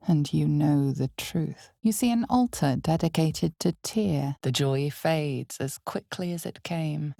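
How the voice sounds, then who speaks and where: Creaky, low, ominous fantasy game narrator. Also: female voice, dark tone, no echo, clean studio sound, full character voice. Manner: deliberate, theatrical, foreboding. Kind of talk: scripted second-person dramatic narration.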